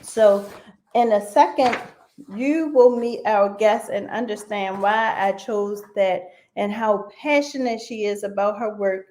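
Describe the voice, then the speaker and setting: A woman hosting a live online radio interview, talking slowly with many fillers like "um". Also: mid-range voice. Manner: slow, warm-hearted, with frequent fillers. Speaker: radio show host.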